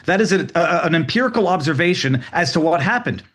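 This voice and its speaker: A male guest on a talk show argues his case in careful, lawyerly language. His voice sits a little high, and he stays level and deliberate even when he pushes back. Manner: argumentative, measured, lawyerly phrasing. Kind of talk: interview discussion.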